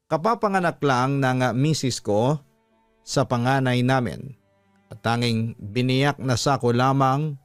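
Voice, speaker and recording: A man's voice: medium pitch, no room sound. A man with a Filipino accent narrating a scripted first-person story as a storytelling host. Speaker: horror story channel narrator reading a listener's letter.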